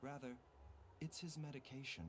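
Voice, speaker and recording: A man playing a composed, scholarly young doctor in a video game, speaking in a measured, formal, soft and gently grave way. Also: medium pitch, fairly even intonation, slight background noise, natural voice. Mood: grave, explanatory. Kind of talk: video game character acting.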